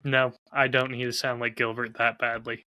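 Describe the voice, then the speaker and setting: A man's voice: mid-range voice, flat delivery, moderate pace, sharp tone, smooth, no echo. A man co-hosting a podcast in casual banter, with a dry, self-deprecating manner. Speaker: podcast co-host.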